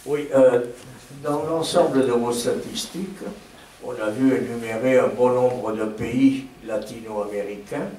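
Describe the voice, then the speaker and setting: Mid-pitched man posing formal questions from the floor, heard from a distance in a reverberant auditorium. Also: steady tone, a little slow, a little mellow. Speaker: questioner at a debate. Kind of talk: asking questions after a lecture. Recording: echoey hall, distant mic, faint noise.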